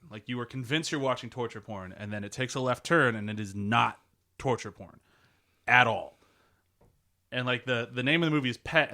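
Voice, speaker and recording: A man's voice: mid-range voice, pushed and bright. A man hosting a casual movie podcast, rambling and laughing often. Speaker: podcast host. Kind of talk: casual chat.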